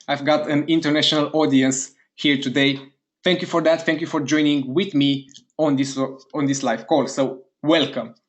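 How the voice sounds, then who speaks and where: Brief: man from Romania, mid-pitched, upbeat webinar host, chats to a live audience, repeats words of praise, computer mic on a web call. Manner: upbeat, eager, speaks straight to a live chat audience. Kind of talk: live online sales webinar.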